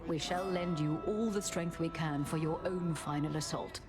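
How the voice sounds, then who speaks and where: A woman's voice: sits low, fairly even intonation, normal speed, lightly acted. A woman voicing a haughty, skeptical queen of the gods in a video game, with a dry, imperious, formal manner. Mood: businesslike. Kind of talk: scripted video game voice acting. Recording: guitar music behind the voice.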